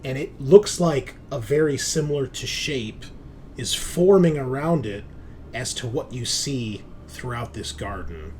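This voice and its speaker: Male game master, mid-pitched, improvised descriptive narration and loose chat, some background noise. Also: lively intonation, unhurried, a little mellow.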